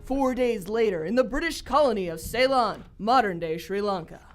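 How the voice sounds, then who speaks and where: A woman's voice: mid-range voice, expressive, normal speed, over music, natural voice. A woman playing an old-time radio announcer, reading in a grand, theatrical style. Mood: grand, showy. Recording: live stage recording, some background noise.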